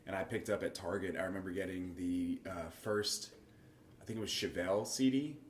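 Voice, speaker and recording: A man, a guitarist guest on a podcast, recalling things in a relaxed, reflective way. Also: low-pitched, a little fast. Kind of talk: casual interview chat. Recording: echoey room, faint noise.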